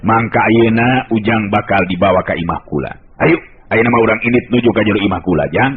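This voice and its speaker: A male storyteller voicing a dignified old martial arts master in a radio tale, calm and commanding. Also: lowish voice, brisk, phone-quality sound, very noisy, lightly acted.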